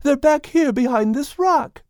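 A woman playing a gentle, excited young wife in an audiobook Bible story. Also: high voice, unhurried, dry recording, studio quality. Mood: earnest, excited. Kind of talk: audiobook acting.

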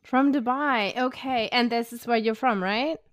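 A woman hosts a podcast, asking questions in a relaxed, curious way full of fillers. Her voice sits a bit high and sounds smooth, and she talks slowly. The sound is dry and close. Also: no echo. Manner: chatty, curious, many fillers. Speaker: podcast host. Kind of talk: casual interview chat.

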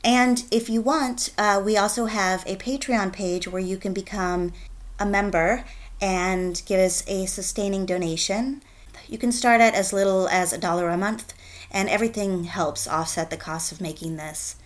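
A woman's voice: medium pitch, steady tone, moderate pace. A woman voice actor speaking casually as herself in an announcement to podcast listeners.

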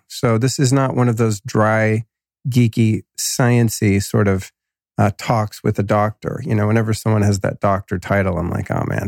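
A man's voice: mid-range voice, vocal fry, darkish tone, no room sound, clean studio sound. Laid-back slangy man, a bit nasal. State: slightly nasal from a cold. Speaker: podcast host. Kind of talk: casual podcast intro and ad reads.

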